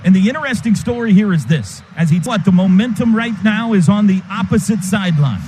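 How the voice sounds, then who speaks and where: A man doing live radio play-by-play of a football game in clipped, vivid, excitable calls. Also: high voice, brisk. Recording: radio broadcast over loud stadium noise. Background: stadium crowd noise.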